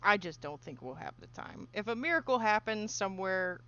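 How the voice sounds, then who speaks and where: A woman's voice: medium pitch, lively intonation, fairly quick, sharp tone. A woman game developer chatting casually and giggling during a livestream Q&A while she works. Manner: chatty and giggly, talks to her dog. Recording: muffled stream audio.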